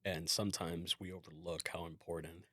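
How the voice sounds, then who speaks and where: A man hosting a casual work podcast as a design manager, explaining things in a relaxed way with frequent fillers. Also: low-pitched, normal speed, no room sound, clean studio sound.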